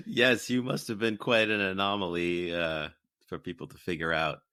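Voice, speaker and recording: A male podcast host interviewing a guest, asking thoughtful questions in a measured way with occasional filler words. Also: on the low side, animated, pushed and bright, no echo, studio quality.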